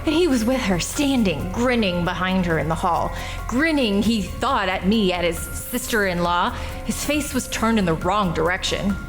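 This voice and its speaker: A woman's voice: medium pitch, lively intonation, over music, natural voice. An actress playing a bitter, defiant woman who confesses a killing in a radio drama. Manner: bitter, defiant, rushed when reliving the fight.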